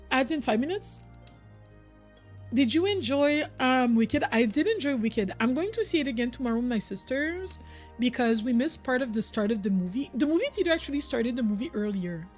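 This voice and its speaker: A woman's voice: fairly high pitch, darkish tone. A young woman whose first language is French, chatting casually with her viewers on a live stream, full of "like" and repeated phrases. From Quebec, originally Cameroon.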